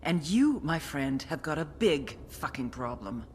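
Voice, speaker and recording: A low female voice with a very mobile melody, playing a tough game interrogator. She is sardonic, profane and menacing, in command throughout. Faint noise sits behind her. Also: very animated, a little slow, darkish tone. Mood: cold menace. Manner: taunting, profane, coldly commanding. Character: hard, sardonic, menacing female intelligence agent running brutal interrogations. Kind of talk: video game cutscene acting.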